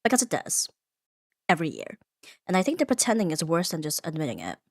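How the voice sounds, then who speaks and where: A woman's voice: low voice, huge pitch swings, fast, no echo. Woman, scripted comfort ASMR, earnest and reflective delivery, emphatic short phrasing, close-miked, dry recording. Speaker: ASMR audio performer.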